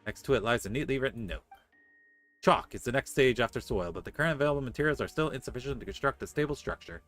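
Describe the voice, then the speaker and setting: A male game streamer with a mid-pitched voice who reads on-screen text aloud at a quick clip. He slips casual, joking asides between the passages, with faint music behind him. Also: animated. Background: soft game music.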